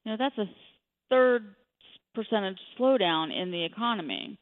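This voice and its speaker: A woman's voice: medium pitch, lively intonation, moderate pace. A woman speaking as an expert guest in a radio interview, giving commentary on the economy. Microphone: telephone. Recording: narrow, tinny phone line.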